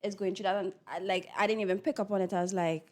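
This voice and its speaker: A woman's voice: mid-range voice, steady tone, fast talker. A woman with a Trinidadian accent talking casually and candidly as an actress being interviewed.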